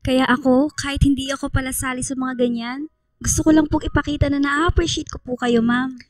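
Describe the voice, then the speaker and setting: High girlish voice, adult woman acting as earnest teenage student, polite, hesitant, dry studio recording, radio drama. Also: fairly even intonation, brisk, no room sound, lightly acted. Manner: hesitant, polite, softly apologetic. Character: shy, earnest teenage schoolgirl, grateful and respectful.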